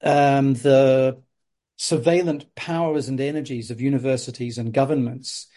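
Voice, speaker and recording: A man hosts a conversational academic interview. His voice is mellow and dark at an ordinary middle pitch. He talks in long, articulate, discursive stretches. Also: steady tone, unhurried. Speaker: interviewer host.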